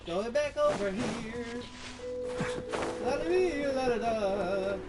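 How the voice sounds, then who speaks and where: A man's voice: very high-pitched, animated, slow pace, rough recording. Male gaming streamer, casual, playful, swooping intonation, game music behind. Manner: playful, sing-song exclamations. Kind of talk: casual gameplay commentary.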